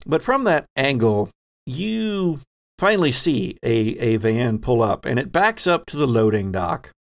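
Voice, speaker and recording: A man's voice: mid-range voice, sing-song, measured pace. A man narrating a tabletop roleplaying game as game master, speaking conversationally and descriptively with wry asides.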